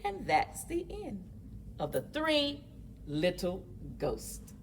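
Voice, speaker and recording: A woman reading a children's storybook aloud in an animated, rhythmic singsong for a library story time. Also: mid-range voice, takes it slowly, slight background noise. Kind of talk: children's storybook read-aloud with chanted refrains.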